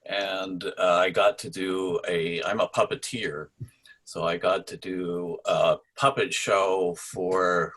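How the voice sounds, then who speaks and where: A man's voice: low-pitched, a bit bright, breathy edge, rough recording. A man speaking casually on a video call, telling an anecdote as a participant in a salon. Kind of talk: casual anecdote with a recited play excerpt.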